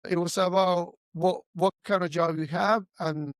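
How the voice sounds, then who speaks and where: A middle-aged man from Argentina hosts the interview in a high voice. He stumbles and restarts as he works his way toward each question. The recording is close and dry, with no reverb. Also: fairly even intonation. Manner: halting, with many restarts and repeated words. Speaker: podcast host. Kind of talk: conversational interview.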